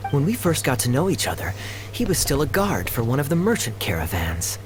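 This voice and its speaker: An actor voicing a calm, courteous, learned man in a video game, speaking in a measured, articulate way. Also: medium pitch, over music. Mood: warm, approving. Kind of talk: storytelling video-game dialogue. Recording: noisy background ambience behind game dialogue.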